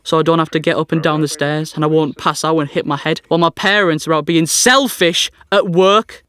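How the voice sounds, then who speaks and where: An actor playing a sickly, self-pitying teenage schoolboy with a North East English accent in an audio drama monologue, glum and sardonic. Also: male voice, a little high, fairly even intonation, fairly quick, pushed and bright, smooth, no echo. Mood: miserable, self-pitying. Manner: glum, complaining, melodramatic.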